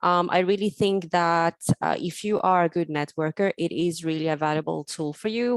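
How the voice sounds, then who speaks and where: Soft-pitched woman, dry close speaker. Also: no echo. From Sweden. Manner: hesitant, many ums and restarts. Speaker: guest speaker. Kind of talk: online academic talk.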